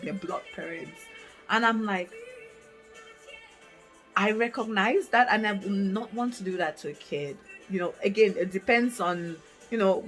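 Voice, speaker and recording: A woman's voice: fairly low pitch, fairly bright tone, poor audio. Candid woman in her twenties thinking aloud. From Africa. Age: 20s. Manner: candid, thinking aloud with many fillers and restarts. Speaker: vlogger. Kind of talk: casual reflective talk to camera. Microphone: camera mic, reading from notes.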